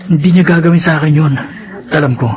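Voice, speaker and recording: A man's voice: fairly high pitch, fairly even intonation, quick pace, darkish tone, close to an everyday voice. A man with a Filipino accent playing an earnest, weary adult son confessing in a radio drama. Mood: earnest, resolved. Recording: muffled radio drama audio with music.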